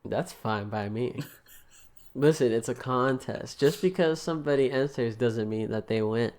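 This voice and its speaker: A man's voice: medium pitch, a little slow, a little mellow. A young man co-hosting a podcast, talking in casual, rambling banter with lots of restarts and laughs. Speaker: podcast co-host.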